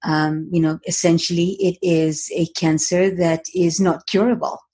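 Guest, woman, middle-aged, from Tanzania; low, mellow, husky voice, reflective and unhurried. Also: fairly even intonation. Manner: thoughtful, measured, frequent ums and uhs. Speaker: guest, author. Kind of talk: interview conversation.

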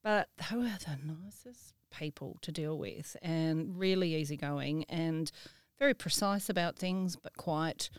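A woman telling work stories casually as an interview guest, in an easygoing, halting way. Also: low-pitched, clean tone, dry recording, clean studio sound. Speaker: interview guest, event venue professional. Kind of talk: casual conversational anecdote telling.

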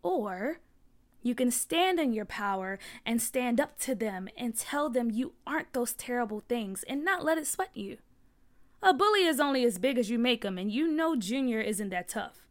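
A woman voicing a firm, nurturing mother in a storybook, speaking in a measured, reassuring manner. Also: fairly high pitch, lively intonation, close to an everyday voice. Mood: firm, encouraging.

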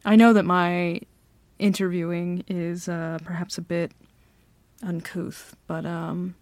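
Woman voicing a bossy female CEO, teasing and confident, slow unhurried talk, a little vocal fry. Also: fairly low pitch, little pitch movement, a little mellow, no echo. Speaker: ASMR roleplay performer. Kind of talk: flirty ASMR roleplay.

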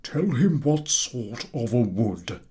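A man's voice: mid-range voice, lively intonation, dark tone, breathy edge, heavily acted. A man putting on a pompous old professor. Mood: coaxing. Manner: drawn-out, coaxing schoolmaster questioning. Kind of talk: audiobook character voice.

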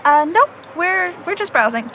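Young female searcher, earnest and unsure, high, very lively pitch, audio drama. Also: normal speed, lo-fi sound, a little hiss or hum, exaggerated voice. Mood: self-conscious. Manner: hesitant, self-doubting. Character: earnest, insecure young woman searching for missing girl. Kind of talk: naturalistic audio drama acting.

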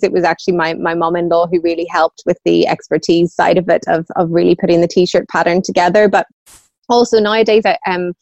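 A woman's voice: fairly low pitch, a little mellow, dry recording. A woman in her thirties with a Northern Irish accent, chatting casually as a podcast guest recorded at home. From Northern Ireland. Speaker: podcast guest, small business founder. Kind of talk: casual conversational storytelling. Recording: slightly dull home recording.

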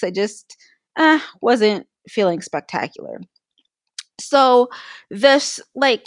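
A woman's voice: on the high side, no room sound, clean studio sound. A woman co-hosting a casual podcast, telling her story while she is losing her voice. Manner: candid, storytelling about her illness. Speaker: podcast co-host.

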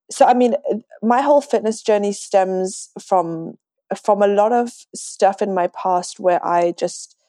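London woman in her 20s, accent a bit americanised, soft mellow tone, chatting on a podcast, really dry recording. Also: medium pitch, dry recording. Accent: London English, slightly Americanised. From London, England. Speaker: podcast guest. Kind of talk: casual conversational interview.

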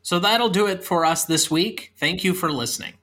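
A man's voice: sits high, normal speed, pushed and bright, faint music behind. A young American man talking about films as a podcast host. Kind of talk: film podcast discussion and sign-off.

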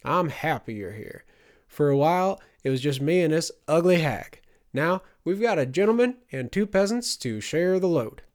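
A man's voice: a little high, sing-song, moderate pace, pitched above the performer's own voice. A man voicing a gleeful, leering, toothless old prisoner with a mocking manner, in an audiobook. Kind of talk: audiobook character acting.

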